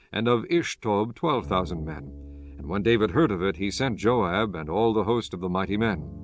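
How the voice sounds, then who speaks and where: A man narrating dramatized King James scripture in a measured, solemn storytelling manner. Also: on the low side. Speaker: audiobook narrator.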